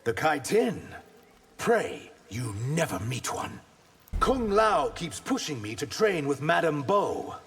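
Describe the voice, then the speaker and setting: A guarded, earnest reptile warrior from a fighting game. His male voice sits fairly high and moves expressively between lines. A long reverb trails his emphatic words. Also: very animated, slow, breathy edge, soft background music, heavily acted. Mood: guarded, sincere. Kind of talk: video game fight banter. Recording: long reverb, faint background noise.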